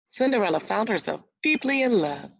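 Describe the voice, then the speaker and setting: A woman's voice: medium pitch, very animated, normal speed, gravelly, breathy edge, no echo. A woman teacher reading a storybook aloud to young children, explaining words and asking guiding questions as she goes. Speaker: elementary school teacher.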